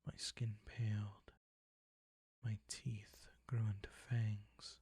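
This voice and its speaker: Man playing a slow, husky, seductive vampire count. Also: fairly low pitch, flat delivery, dark tone, no room sound, studio quality, heavily acted. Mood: brooding, seductive. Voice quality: husky, breathy, often near a whisper. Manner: slow, deliberate, silky teasing, commanding. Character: seductive, predatory, possessive vampire count, outwardly handsome man. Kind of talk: intimate scripted audio roleplay.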